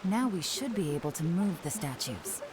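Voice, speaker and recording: An actress voicing a composed, shrewd young adventurer in a video game, cool and self-assured. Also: female voice, fairly low pitch, very animated, moderate pace, noisy background. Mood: focused, assured. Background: game music.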